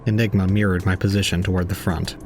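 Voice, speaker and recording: A deep-voiced, aloof young man, played by a male actor, narrates an audio drama with cold restraint. His wording is formal and detached, brooding over what he sees, with light music underneath. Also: little pitch movement, fast, a little mellow.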